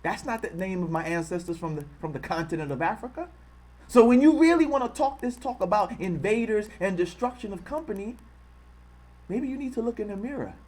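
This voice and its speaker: Fervent American male host, livestream, fairly high-pitched voice, fires off repeated rhetorical questions. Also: expressive, a little fast. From United States. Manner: impassioned, repetitive rhetorical questions; greets and thanks live viewers in a softer tone. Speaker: online channel host. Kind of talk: live-streamed commentary.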